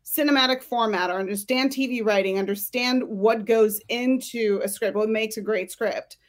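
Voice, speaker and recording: Higher-pitched woman giving emphatic advice. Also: moderate pace. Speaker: screenwriting career coach and panel guest. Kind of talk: conversational advice on a panel.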